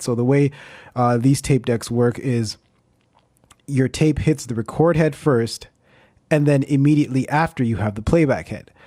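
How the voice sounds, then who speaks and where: A man presenting an informal explainer vlog, talking things through in a measured, explanatory way. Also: medium pitch, dark tone, no room sound.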